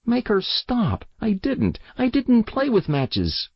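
A man's voice: high voice, huge pitch swings, slow, no echo, highs cut off, pitched above the performer's own voice, full character voice. A man voicing a frightened little boy in a story reading, whining in frantic, tearful denial.

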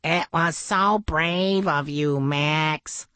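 A quiet, kindly storybook animal, voiced by a woman. The friend speaks sensibly and gently, at an unhurried pace and a slightly low, natural pitch. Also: no echo, heavily acted. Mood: gentle.

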